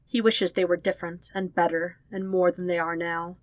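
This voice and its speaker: A woman narrating dramatic fan fiction as an audiobook, with expressive, measured storytelling. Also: fairly low pitch, no echo.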